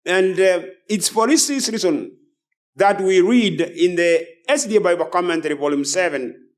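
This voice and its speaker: A male preacher with a high-pitched voice delivers a sermon. His manner is solemn and declamatory. He leans on repeated phrases and formal, quoted wording. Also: normal speed. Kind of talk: sermon with read-out quotations.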